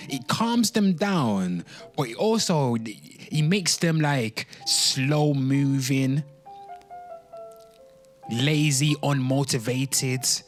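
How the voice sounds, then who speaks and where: A man giving informal, emphatic livestream commentary, repeating his phrases and speaking straight to his listeners, with occasional swearing. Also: mid-range voice. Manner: emphatic, repetitive, swearing, addressing listeners directly. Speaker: streamer, commentator giving opinions. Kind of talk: informal livestream commentary with some reading aloud.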